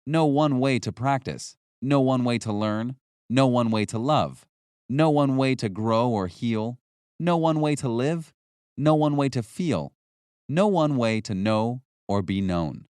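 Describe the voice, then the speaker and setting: A man reading a scripted nonfiction audiobook as narrator, in a measured, instructional way. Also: mid-range voice, expressive, darkish tone, smooth, dry recording, clean studio sound.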